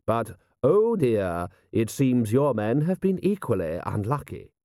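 A man's voice: medium pitch, very animated, a little slow, dark tone, no echo, studio quality. Man voicing a suave, languid, disdainful prince. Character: suave, disdainful male prince commanding an army.

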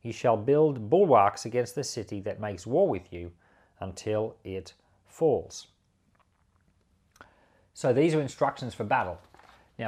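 A man, a pastor, reading scripture and giving devotional commentary in a measured, emphatic delivery. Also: mid-range voice, breathy edge.

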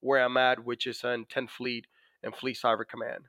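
A man from the Dominican Republic speaks with a Dominican Spanish accent, his voice smooth and resonant. He talks in a halting way, full of pauses and restarts. The sound is close and dry. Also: medium pitch, level tone, pushed and bright, dry recording. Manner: halting, many fillers and restarts. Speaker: guest, Navy master chief. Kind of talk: casual interview.

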